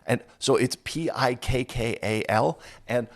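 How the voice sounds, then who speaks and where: A man hosting a podcast while hoarse from a cold, talking in an upbeat, self-deprecating, joking way. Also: medium pitch, measured pace. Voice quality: raspy, gravelly. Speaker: podcast host. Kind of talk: casual interview chat.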